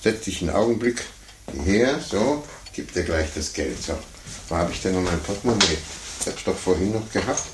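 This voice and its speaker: An actor playing a fussy, condescending older gentleman in a 1950s radio play, muttering to himself and talking down patronizingly. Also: sits low, expressive, normal speed. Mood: genial, fussy. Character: condescending, fussy, self-important older gentleman chess amateur. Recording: roomy, noisy 1950s radio recording.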